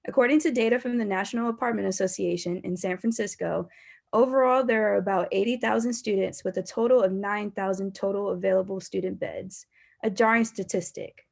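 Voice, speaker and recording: A woman's voice: mid-range voice, gravelly. A woman in her twenties with an American accent, a university student reading a scripted presentation. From Baltimore, United States. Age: 20s.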